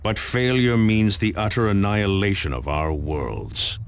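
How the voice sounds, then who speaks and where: An actor playing a grave, stern space marine commander in a video game, giving a measured, portentous dramatic briefing. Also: male voice, fairly low pitch, clear, resonant tone. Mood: grave, urgent. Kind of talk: dramatic scripted briefing narration.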